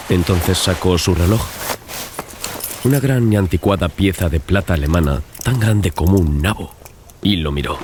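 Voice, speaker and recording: A man's voice: sits low, noisy background. A man narrating a dramatized gothic horror audiobook as a scripted reading. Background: loud music and sound effects.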